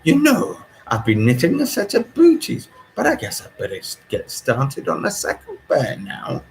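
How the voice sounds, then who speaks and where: A nosy neighbour in her sixties from an audiobook , played by a man, pitched up very high. She gushes and chatters, stretching out her words with big swoops. There is faint noise behind her. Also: huge pitch swings, poor audio. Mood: gushing, chatty. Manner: gushing, drawn-out exclamations. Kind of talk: audiobook character voice.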